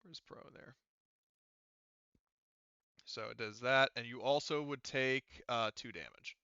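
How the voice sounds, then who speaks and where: A man running a tabletop roleplaying game as game master, casual and sweary, halting as he mutters through the rules. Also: medium pitch, bright, forceful tone, clear, resonant tone, no echo, dull audio. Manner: halting, muttering to himself while checking rules.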